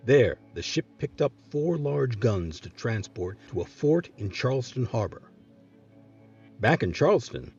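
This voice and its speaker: A man narrating scripted history as a series host, with a dramatic, measured storytelling delivery. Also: medium pitch, mellow, breathy edge, lo-fi sound.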